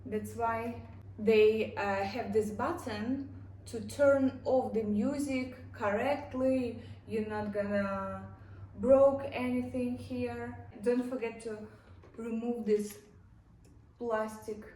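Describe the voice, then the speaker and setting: A non-native English-speaking woman giving a casual unboxing and product review in short, halting phrases. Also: a little high, steady tone, mellow. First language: not English. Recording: echoey room, distant mic, faint noise.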